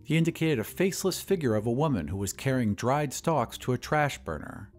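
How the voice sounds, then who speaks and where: A man narrating an audio drama in a measured, expressive way. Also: medium pitch, faint music behind.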